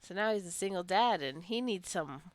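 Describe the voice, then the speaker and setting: A woman giving casual, rambling gameplay commentary as a let's-play host, musing aloud to the game's characters in a creaky voice. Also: mid-range voice, animated, moderate pace, dry recording.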